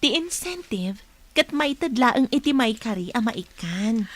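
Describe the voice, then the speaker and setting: A woman's voice: fairly high pitch, animated, a little slow, dry recording. A woman acting as a pert office co-worker in an Ilocano radio drama, with an Ilocano accent.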